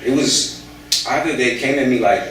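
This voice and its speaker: A man's voice: mid-range voice, moderate pace, a bit bright. A young American man talking casually in an interview, hesitant, with many fillers and restarts. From United States. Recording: echoey room, voice from far off.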